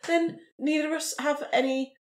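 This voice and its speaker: A woman's voice: high voice, fairly even intonation, normal speed, a little hiss or hum, pitched above the performer's own voice, natural voice. A woman voicing a genteel, sly lady investigator in an improvised role-play, polite, arch and mock-innocent. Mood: politely scheming. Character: genteel, sly female amateur investigator and newspaper writer. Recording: roomy, echoing room sound.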